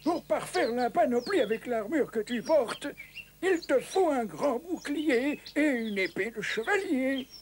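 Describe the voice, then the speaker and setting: A man's voice: very high-pitched, lively intonation, unhurried, background noise. A man voicing a wily old merchant traveller in a cartoon, wordy, pleading and ingratiating. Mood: grateful, sly.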